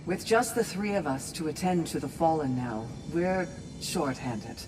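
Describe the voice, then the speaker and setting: A wry game shield maiden. Her delivery is measured in speed but very lively in pitch, set back amid noisy ambience. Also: recorded from a distance. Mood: businesslike, wry. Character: brisk, good-humoured female warrior, commander of shield maidens. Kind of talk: video game character acting.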